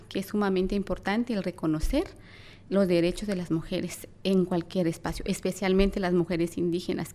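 A woman's voice: medium pitch, a little mellow. A young woman with a Guatemalan accent, speaking earnestly as an activist guest in an interview. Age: young adult.

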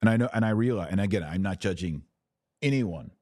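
An American man hosting a podcast, giving conversational commentary in an emphatic way with deliberate phrasing and pauses. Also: low voice, expressive, darkish tone, no echo, clean studio sound. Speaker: podcast host. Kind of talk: conversational commentary answering listener questions.

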